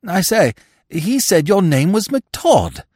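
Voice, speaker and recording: A man acting a young, dim, upper-class English gentleman from a storybook. He is excitable and flustered, pitched high and swooping wildly as he blurts out old-fashioned slang, anxious and eager. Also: slow pace, dry recording, studio quality, exaggerated voice. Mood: baffled, incredulous. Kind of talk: audiobook character voice.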